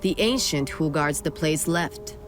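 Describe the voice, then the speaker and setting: A woman's voice: deep voice, a little slow, faint music behind. Woman playing a stern, haughty night elf sentry. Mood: grave. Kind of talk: radio play acting.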